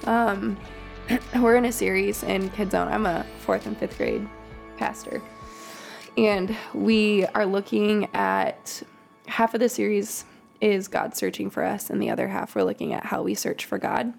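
A woman who serves as a children's pastor leads an informal Bible study on a podcast. She talks in a relaxed, rambling way, with ums and little laughs. Her voice has a noticeable creak. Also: mid-range voice, measured pace, soft background music. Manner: chatty, laughing asides, frequent ums. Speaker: kids' pastor.

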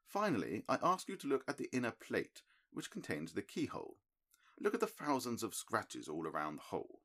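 A man reading an audiobook, voicing a calm, analytical middle-aged male detective who explains his reasoning in a crisp, precise, lecturing manner. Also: on the low side, voice pushed up, full character voice.

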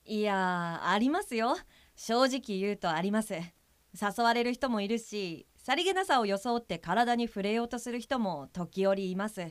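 A woman playing a polite, frank guide for blind people in an audio drama, speaking in a measured, matter-of-fact way with a firm edge. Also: medium pitch, expressive, smooth, no room sound, close to an everyday voice.

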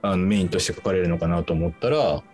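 A young man in his twenties with a very low voice talks casually on the radio as a guest. He builds his opinions hesitantly, piece by piece. Music plays faintly underneath. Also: quick pace, a little mellow. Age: 20s. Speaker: show staff member and guest presenter.

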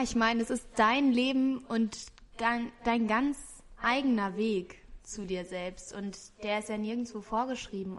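A woman interviewing someone, asking questions and offering calm, encouraging reflections. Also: mid-range voice.

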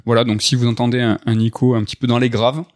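A man's voice: mid-range voice, little pitch movement, fast talker, darkish tone, dry recording, studio quality. A man hosting a casual video game news podcast, chatty and upbeat, with many fillers and restarts. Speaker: host.

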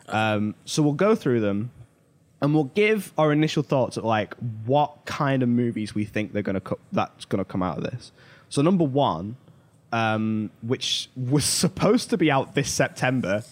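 A man hosting a podcast, talking casually and informally, telling stories with emphatic asides. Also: mid-range voice. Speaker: podcast host. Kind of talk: casual pop culture discussion.

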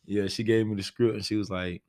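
A deep-voiced American man, a stage actor, talks casually about his experiences. He speaks in slangy, conversational style, often checking that the listener follows. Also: level tone, brisk, darkish tone. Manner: slangy, often says "you know what I'm saying".

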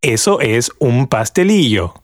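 A man with an Argentine Spanish accent, a language guide who says model phrases slowly and clearly for repetition. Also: medium pitch. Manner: clear, deliberate, lively intonation.

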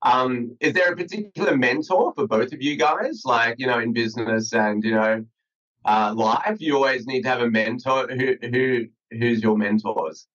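An Australian man hosts an interview over a video call, his questions halting and full of restarts. His voice sits at an ordinary middle pitch. The call audio sounds dull and lo-fi. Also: fairly bright tone. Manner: halting, many fillers and restarts. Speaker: podcast host.

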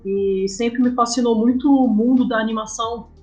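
A woman's voice: fairly high pitch, fairly even intonation, moderate pace, darkish tone, highs cut off. Brazilian woman chatting over background music. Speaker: guest, comic artist. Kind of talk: casual interview conversation. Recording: music playing behind the voice.

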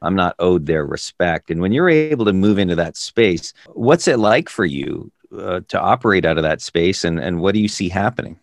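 Man, very low voice, dry close sound, measured interviewer. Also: animated, no echo. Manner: measured, stumbles over words when starting. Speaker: podcast host. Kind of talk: interview questions.

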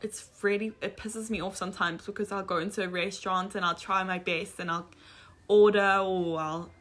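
A woman's voice: mid-range voice, fairly even intonation, normal speed, fairly bright tone. A young woman from Africa, co-hosting a casual podcast chat and rambling as she corrects herself. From South Africa. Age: young adult. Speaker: podcast co-host.

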